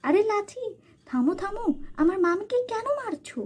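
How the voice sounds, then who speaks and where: A woman's voice: very high voice, big pitch swings, moderate pace, darkish tone, pitched above the performer's own voice. A woman voicing an earnest, trusting young village girl in a children's cartoon, speaking in a polite, eager way. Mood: upset.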